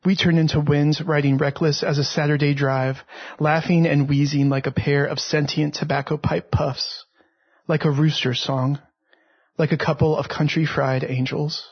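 Male poet for a literary reading, rough-edged voice, even and restrained melody, slightly muffled audio. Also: fairly high pitch, normal speed. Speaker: poet reading own work. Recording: dull, slightly lo-fi recording.

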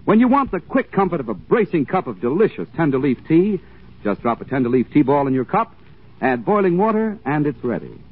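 A man announcing a scripted 1940s radio advertisement as a polished sales pitch. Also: sing-song, a little fast, slightly rough. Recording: tinny, thin 1940s radio broadcast.

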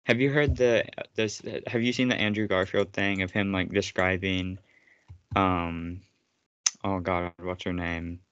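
A man's voice: deep voice, fairly even intonation, a little slow, a bit bright, smooth, dry recording, lo-fi sound. A man co-hosting a casual podcast, rambling and teasing his friend with frequent laughter. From United States. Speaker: podcast co-host. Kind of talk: casual chat.

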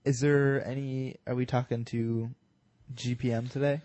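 A man's voice: mid-range voice, flat delivery, normal speed, warm tone, highs cut off. A young man co-hosting a casual podcast. He rambles and loses his train of thought. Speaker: podcast co-host.

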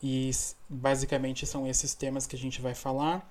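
A man's voice: mid-range voice, level tone, normal speed, a little mellow. Chatty Brazilian man hosting a podcast. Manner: chatty, laughs often, many restarts. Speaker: podcast host. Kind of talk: casual chat.

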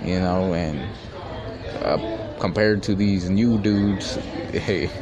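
A man in his twenties hosting a casual solo podcast from a fast-food restaurant, talking in boastful, profane street slang. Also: sits low. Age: 20s. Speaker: podcast host. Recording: crowded restaurant chatter behind. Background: restaurant crowd chatter.

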